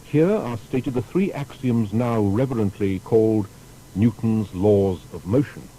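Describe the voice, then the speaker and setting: A man narrating a scripted historical documentary in a formal storytelling manner with deliberate emphasis. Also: dark tone, poor audio. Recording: faint background noise with rumble.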